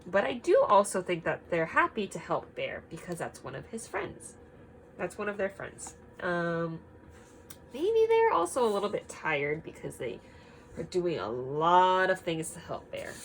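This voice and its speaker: A woman reading a picture book aloud to children, expressive and emphatic, pausing to ask simple guiding questions. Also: mid-range voice, a little hiss or hum, rough recording.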